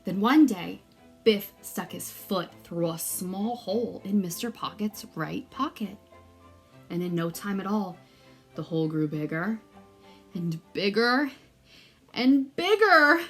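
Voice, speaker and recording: Female storyteller for picture books: very animated intonation, unhurried pace, expressive emphasis. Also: medium pitch, soft background music. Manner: deliberate, dramatic emphasis for young listeners. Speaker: read-aloud storyteller. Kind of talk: expressive children's story reading.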